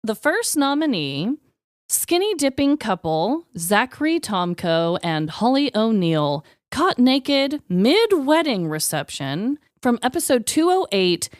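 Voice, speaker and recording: A woman hosting a podcast in casual comedic banter, with an expressive, irreverent way of talking. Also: fairly high pitch, slow, no room sound, clean studio sound. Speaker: podcast host. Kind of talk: casual comedic banter, presenting joke awards.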